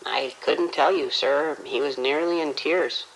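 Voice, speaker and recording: An actor playing a frightened, overworked morgue attendant in an audio drama, uneasy and insistent. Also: male voice, on the high side, unhurried, a bit bright, slight background noise, poor audio. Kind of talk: radio drama acting.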